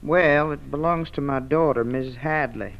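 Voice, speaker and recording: An actor playing a suspicious, blunt older farmer in a 1940s radio crime drama. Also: male voice, on the high side, a little slow, gravelly. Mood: wary interest. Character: suspicious, blunt older farm dweller, parent of grown daughter. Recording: muffled vintage radio broadcast.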